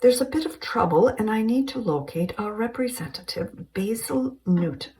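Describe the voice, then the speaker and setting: A woman voicing a polite middle-aged businesswoman, speaking in a breathy, genteel way. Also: mid-range voice, expressive, moderate pace, a little mellow, distant mic, pitched above the performer's own voice, close to an everyday voice. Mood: anxious polite.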